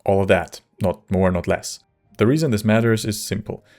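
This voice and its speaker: A man narrates a scripted video in a measured, wry manner. His voice sits fairly low with a lot of fry, and faint music plays underneath. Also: a little mellow. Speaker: video creator and modder.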